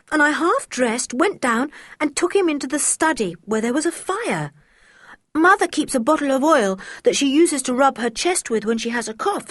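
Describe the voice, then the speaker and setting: A fiery, defiant tomboy girl from a children's story, indignant and emphatic, voiced by a woman. Her voice is high and swoops widely, recorded close and dry. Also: a bit bright, dry recording, heavily acted.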